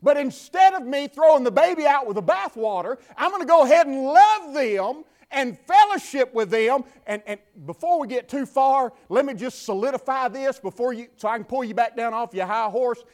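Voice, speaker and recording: A man with a Southern US accent preaching a sermon from the pulpit, building to fervent shouts and dropping to quiet talk. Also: extremely high pitch, animated, normal speed, hardly any pauses. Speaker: pastor. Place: church.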